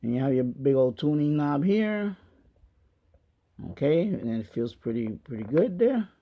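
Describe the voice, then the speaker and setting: A man giving a casual hands-on product review in a halting way, repeating himself as he goes. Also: fairly high pitch, big pitch swings. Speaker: gadget reviewer and radio hobbyist. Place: basement of a house. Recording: dull lo-fi home video sound.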